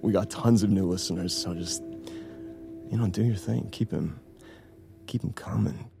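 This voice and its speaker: A man's voice: low-pitched, moderate pace, vocal fry, music in the background. A man playing a cheeky, teasing assistant, playful and sarcastic, in a naturalistic audio drama. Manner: playful, laid-back, sarcastic.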